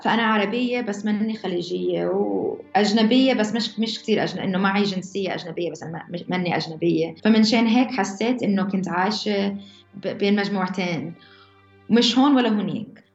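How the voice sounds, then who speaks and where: A woman's voice: mid-range voice, quick pace, creaky. A young woman with a mixed Levantine Arabic accent telling her own story casually, often restarting mid-phrase. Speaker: interviewee. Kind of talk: personal storytelling. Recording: roomy, slightly dull, music under.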